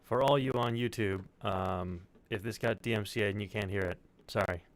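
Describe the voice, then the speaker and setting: Low-voiced man on a slightly noisy stream. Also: normal speed. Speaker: stream co-host running the video playback. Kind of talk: casual livestream chat.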